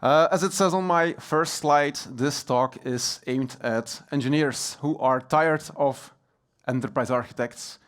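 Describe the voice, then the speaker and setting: A man's voice: medium pitch, unhurried. Belgian man giving a tech talk. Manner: frequent um and uh fillers, relaxed and plain. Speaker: conference speaker. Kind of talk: technical conference talk. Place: conference hall.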